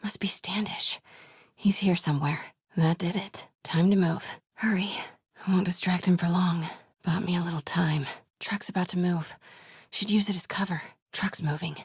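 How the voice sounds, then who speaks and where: Brave young reporter gal from a video game, super breathy hushed voice, close and dry. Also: female voice, fairly low pitch, fairly even intonation, normal speed, no echo. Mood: hushed, tense. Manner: hushed, often whispering to herself. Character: determined young woman reporter, brave and sharp-witted.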